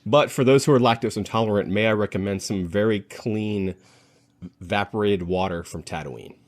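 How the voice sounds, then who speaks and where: A man with a very low voice hosts a playful panel chat. He talks casually and lingers over his descriptions with relish, like a co-host among friends. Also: moderate pace.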